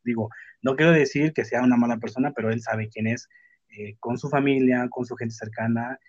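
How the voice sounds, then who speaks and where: Husky Mexican man in an echoey room. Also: mid-range voice, steady tone. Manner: frank, slangy, halting and self-interrupting. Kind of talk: casual chat. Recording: muffled lo-fi audio in echoey room.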